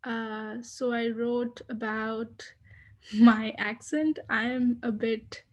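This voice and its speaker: A woman with a non-American accent talks slowly and hesitantly, filling gaps with many small pauses. Her voice sits a bit high for a woman. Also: steady tone, darkish tone. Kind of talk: casual group discussion.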